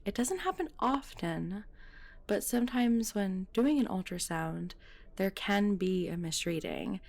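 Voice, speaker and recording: A woman's voice: medium pitch, vocal fry, darkish tone. A woman playing a friendly, professional midwife in an audio roleplay, chatty and politely explaining things.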